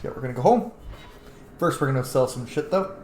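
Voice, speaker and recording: A man's voice: medium pitch, brisk, darkish tone, echoey room, slight background noise. A man giving casual, chatty video game commentary, reacting aloud as he plays. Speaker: gaming video host. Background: game music.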